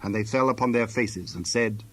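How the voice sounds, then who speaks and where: A man narrating scripture from a script in a measured, solemn storytelling manner. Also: lowish voice, rough recording.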